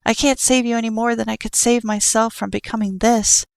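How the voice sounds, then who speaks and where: A woman doing a calm, all-seeing child. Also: on the high side, a little fast, creaky, no room sound, voice pushed up. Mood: earnest.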